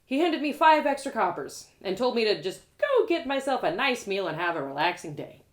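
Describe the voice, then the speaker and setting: Woman doing lively fantasy storytime, very expressive, pitch swings all over, pauses for drama. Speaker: author reading own story aloud. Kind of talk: animated first-person fantasy storytelling, live reading.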